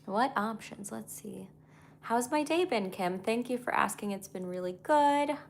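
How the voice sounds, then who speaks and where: A woman from Ukraine, a native Russian speaker, casually chatting on her livestream as a comedian and podcast host. She reads viewer comments aloud and thinks out loud with easy pauses. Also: a little high, animated, gravelly, slight background noise. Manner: easygoing, thinks aloud with frequent ums, reads chat comments aloud. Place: at home, brushing her dog.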